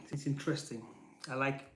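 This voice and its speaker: Slow, reflective man in an echoey room. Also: mid-range voice, steady tone, fairly bright tone. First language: Portuguese. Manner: thoughtful, searching for words, with restarts. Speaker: Bible teacher. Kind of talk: reflective teaching talk. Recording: roomy echo.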